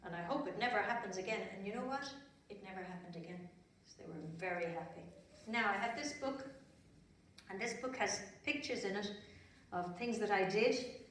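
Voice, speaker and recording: A woman's voice: medium pitch, moderate pace, long pauses. An Irish-accented woman telling stories to young children in simple, repetitive phrases with chatty asides. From Ireland. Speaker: children's storyteller. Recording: very echoey room, distant mic, faint noise.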